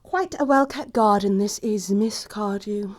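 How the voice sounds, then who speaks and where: A woman's voice: medium pitch, measured pace, a little mellow, lightly acted. A woman acting a snobbish young English society lady in an audio play comedy, with pompous, precise, disdainful delivery. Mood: icily polite. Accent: English upper-class.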